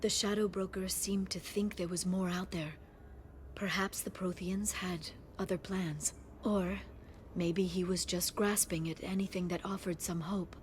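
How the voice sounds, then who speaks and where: Actress doing a soft earnest brainy alien lady in a video game, slightly low, bit of background noise. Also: steady tone, measured pace, natural voice. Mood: scholarly, thoughtful. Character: gentle, scholarly alien woman, idealistic information broker.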